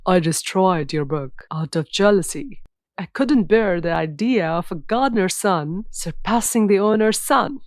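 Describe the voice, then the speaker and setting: A woman's voice: mid-range voice, expressive, a little slow, no room sound, studio quality, voice pushed down, close to an everyday voice. A woman voicing a proud rich young man, a mansion owner's son, emphatically in a children's story. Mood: remorseful.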